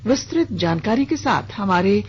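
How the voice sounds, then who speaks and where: A woman's voice: medium pitch, big pitch swings, normal speed. A woman reading a scripted regional radio news bulletin as the newsreader. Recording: dull lo-fi radio broadcast with hiss.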